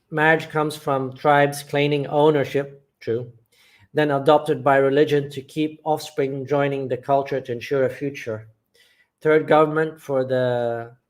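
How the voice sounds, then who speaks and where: A man from England runs a casual live chat on his channel. He reads viewer comments aloud and answers each one with plain, blunt opinions, speaking at a middling pitch in an everyday, conversational way. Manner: matter-of-fact, blunt opinions. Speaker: channel host.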